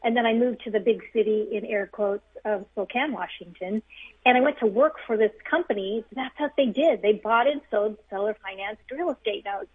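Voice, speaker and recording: A woman in her fifties from the United States talks over a tinny phone line as a guest. She tells her story in a friendly, self-deprecating way and laughs easily. Her voice is a little husky, and the sound is dry and close. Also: on the high side, normal speed, no room sound. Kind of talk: interview storytelling.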